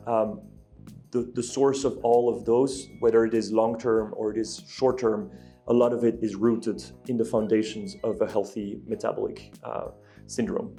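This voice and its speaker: A man's voice: on the low side, dark tone. A man from Belgium, answering interview questions as a company executive, hesitant with many ums and restarts. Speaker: guest, ingredient company executive.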